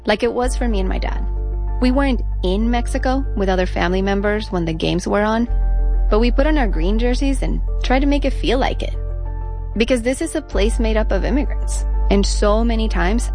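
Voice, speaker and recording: A woman narrating a personal radio feature in a reflective, storytelling voice. Her pitch sits in a middle range, and faint music plays beneath her. She sounds like a studio voice-over. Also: normal speed, darkish tone.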